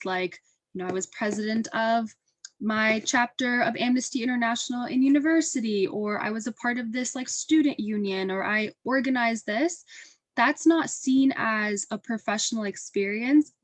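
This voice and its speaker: A woman's voice: on the high side, dry recording. A young woman answering as a panelist in a reflective, conversational and personal way, earnest in her delivery. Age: young adult. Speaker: webinar panelist. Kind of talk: earnest spoken interview answer.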